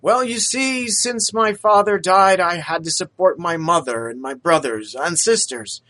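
A man voicing a humble, grateful young street vendor in a storybook reading, with a high, eager voice. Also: a little slow, bright, forceful tone.

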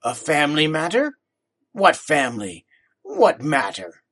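A man puts on a stern, raspy old moneylender who growls his questions and speaks curtly and slowly. He sounds cold and impatient. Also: on the high side, huge pitch swings, a bit bright, exaggerated voice. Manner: stern, curt, slow. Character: stern, miserly older businessman, gruff.

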